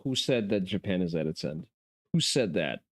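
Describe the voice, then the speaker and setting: A man's voice: low-pitched, very animated, normal speed. A man hosting a casual chat stream and reacting to videos, with sarcastic, profane ranting.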